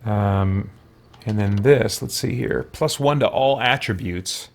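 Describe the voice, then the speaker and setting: A man's voice: lowish voice, slow pace, creaky. A man giving casual gameplay commentary as a let's play host, thinking aloud and muttering to himself as he goes.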